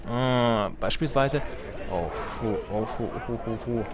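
A man's voice: medium pitch, a little slow, noisy background. A man giving casual, unscripted let's play commentary on a video game, rambling into self-justifying asides. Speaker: gaming YouTuber, let's player. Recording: game music behind the voice. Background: video game music.

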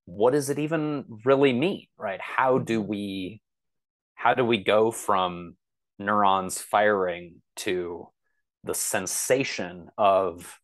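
A man giving conversational interview answers as a podcast guest, explaining things in a thoughtful, hesitant way. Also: fairly low pitch. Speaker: podcast guest, author and computer science instructor. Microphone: video call.

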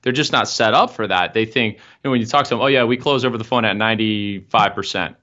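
A young man speaks from an office over a video call, his voice very low and the audio a bit dull and lo-fi. He explains things in a salesy, step-by-step way, sprinkled with uhs and ums. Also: fast talker, pushed and bright. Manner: explanatory, pitch-like with fillers. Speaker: marketing consultant guest. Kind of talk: interview answers. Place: office room.

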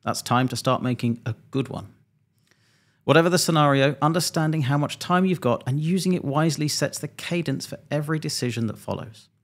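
A man around forty, an airline pilot, presenting a scripted explainer in a measured, methodical, slightly dry way, close to a desk microphone. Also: a little high. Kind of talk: scripted explainer narration to camera. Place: small home studio indoors.

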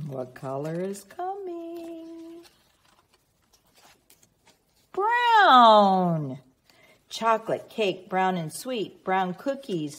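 A woman reading a picture book aloud expressively to young children, prompting them with teacherly questions and pauses. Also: lowish voice. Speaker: children's read-aloud reader.

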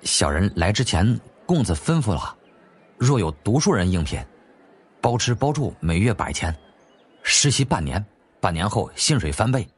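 A man's voice: on the low side, big pitch swings, moderate pace, husky. A man voicing a deferential household servant of ancient China in an audiobook, explaining humbly. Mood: wry, humble.

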